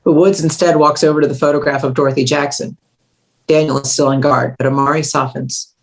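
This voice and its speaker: A woman's voice: sits low, steady tone, brisk, rough recording. A woman reading scripted stage directions as the narrator of a screenplay table read.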